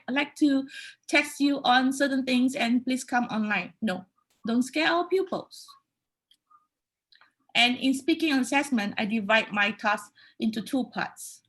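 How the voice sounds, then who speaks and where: A woman's voice: sits high, fairly bright tone, poor audio. A woman with a Malaysian accent, a teacher giving an explanatory presentation in an instructive way, often checking in with "okay" and "right". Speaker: high school English teacher giving a conference presentation.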